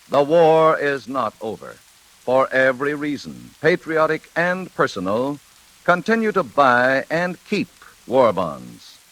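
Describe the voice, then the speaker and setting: Old time radio announcer guy, medium pitch, very slow, earnest and emphatic, reading an appeal, vintage recording. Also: a bit bright, rough recording. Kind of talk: 1940s radio public appeal, scripted.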